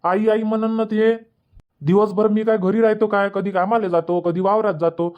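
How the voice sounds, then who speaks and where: A man's voice: sits high, fairly even intonation, moderate pace, natural voice. A man voicing a worried, reluctant village father in a cartoon comedy, questioning and protesting with a Vidarbha Marathi accent in a dull recording. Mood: worried objecting.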